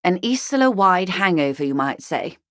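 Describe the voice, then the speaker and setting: A woman playing a poised, wealthy middle-aged corporate negotiator in a video game, speaking in a measured, eloquent, formal and dryly ironic way. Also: fairly low pitch, big pitch swings, dry recording, studio quality. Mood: wry, somber. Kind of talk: video game character dialogue.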